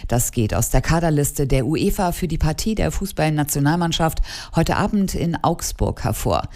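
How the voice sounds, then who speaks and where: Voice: medium pitch, expressive, moderate pace, darkish tone. A radio news reader delivering scripted bulletins.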